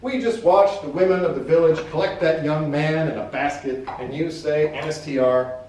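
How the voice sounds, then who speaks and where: A woman's voice: deep voice, moderate pace, a little mellow, recorded from a distance, voice pushed up, natural voice. A man voicing a blunt, impatient female army major who speaks sharply, in a roomy hall away from the mic. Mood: scornful.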